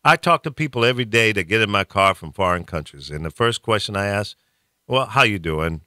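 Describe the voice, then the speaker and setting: Fiery man with a lively, bright voice. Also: fairly low pitch, fast, no room sound, clean studio sound. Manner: blunt, fiery, stammers and repeats words. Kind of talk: political rant on talk radio.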